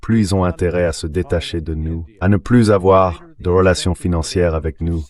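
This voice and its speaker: Male commentator, notably low voice, translated synthetic read of conversational political analysis. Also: fairly even intonation, moderate pace, a little mellow. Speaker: guest commentator.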